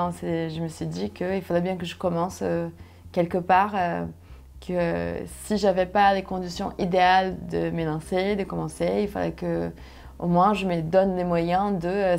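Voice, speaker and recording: A young woman from Brazil, a native Portuguese speaker with a Brazilian accent, telling her story conversationally and haltingly as she searches for words. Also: on the low side, little pitch movement, gravelly, darkish tone. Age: young adult. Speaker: interview guest, solo sailor.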